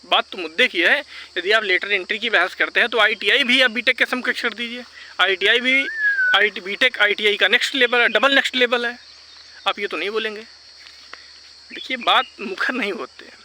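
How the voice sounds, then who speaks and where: A man's voice: high-pitched, fairly quick, background noise. A man giving informal, argumentative advice, insistently repeating his points and mixing in English words. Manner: mixes English words into speech. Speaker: vlogger advising exam candidates.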